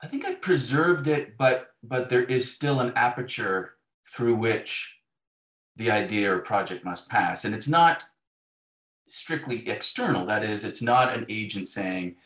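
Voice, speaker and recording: A man talking reflectively and haltingly as a novelist being interviewed, often voicing imagined remarks. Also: medium pitch, fairly bright tone. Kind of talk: thoughtful conversational interview. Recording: roomy, echoing sound.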